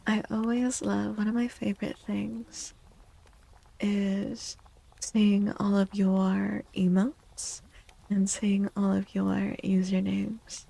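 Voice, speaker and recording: Female ASMR streamer, soft and breathy with plenty of vocal fry, unhurried, slipping into whispers. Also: medium pitch, rough recording. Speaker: live streamer doing tarot readings for chat.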